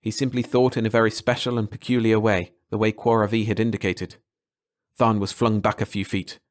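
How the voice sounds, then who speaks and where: A man narrating a short story aloud in a steady, clear reading. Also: fairly low pitch, a little fast. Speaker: audiobook narrator.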